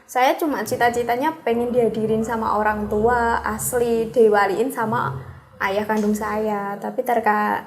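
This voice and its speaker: Soft-spoken young woman, higher voice. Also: fairly even intonation, unhurried. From Grobogan, Central Java. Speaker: guest. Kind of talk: casual interview answers.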